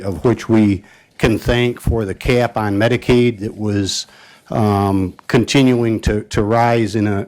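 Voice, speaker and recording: Man, lowish voice, formal tribute, halting, many fillers. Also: darkish tone. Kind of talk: formal tribute speech at a meeting.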